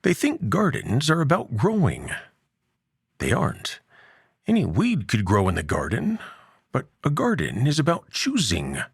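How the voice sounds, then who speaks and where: A man's voice: medium pitch, wildly swooping pitch, measured pace, gravelly, breathy edge, dry recording, clean studio sound, pitched above the performer's own voice, heavily acted. A man voicing a jovial, towering, very old doctor in a story, genial and grandly pronouncing. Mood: genial, philosophical.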